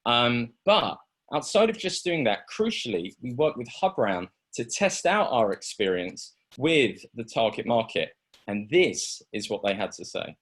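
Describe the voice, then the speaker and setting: Man presenting a startup pitch, lively pitch, hesitant fillers, video call sound. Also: measured pace, slightly rough.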